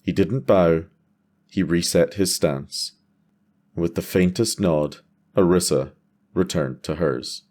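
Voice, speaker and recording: A man narrating a scripted fantasy story in a measured way, with dramatic pauses. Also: deep voice, a little mellow.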